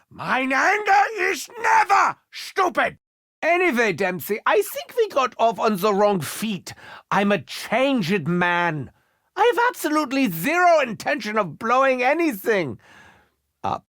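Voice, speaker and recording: A man's voice: very high-pitched, very animated, a little slow, a bit bright, no echo, clean studio sound, heavily acted. An actor playing a manic, unhinged German male doctor in a video game, with a German accent and a theatrical, mock-wounded manner. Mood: haughty.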